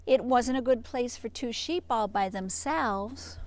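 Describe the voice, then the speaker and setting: A woman doing an animated read-aloud for children like a teacher, playful and expressive, with dramatic hushes and emphasis. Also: a little high, unhurried, dry recording.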